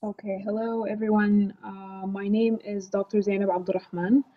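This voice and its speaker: Woman, Arabic first language, recent medical graduate, polite, measured, dull lo-fi sound. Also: mid-range voice, flat delivery. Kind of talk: answering interview questions.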